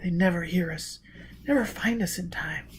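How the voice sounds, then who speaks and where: A man voicing an exhausted, frightened young woman in an audiobook, with a thin, breathy voice. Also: medium pitch, moderate pace, voice pushed up, lightly acted. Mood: weary, defeated.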